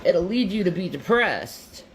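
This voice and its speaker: A woman's voice: lowish voice, sing-song, unhurried, slight background noise. A woman giving an earnest motivational monologue, emphatic and repeating herself.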